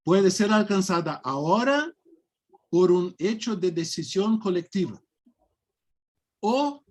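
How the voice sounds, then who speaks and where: A man, speaking slowly and reflectively in a casual online faith discussion over a video call. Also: high-pitched. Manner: slow, warm and reflective, with soft laughs. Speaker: speaker leading a faith study talk.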